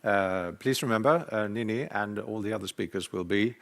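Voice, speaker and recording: Lower-voiced man moderating a webinar. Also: normal speed, gravelly, a bit bright. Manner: formal with frequent uh fillers.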